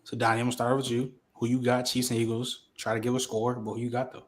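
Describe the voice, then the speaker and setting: A man's voice: medium pitch, monotone, fast. A man hosting a sports podcast in a laid-back way, asking the panel for predictions. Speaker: podcast host. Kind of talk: casual show hosting.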